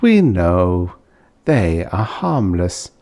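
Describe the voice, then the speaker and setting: A man voicing a complacent, unruffled alien official in an audiobook story, calm and dismissive. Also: sits low, extremely slow, exaggerated voice.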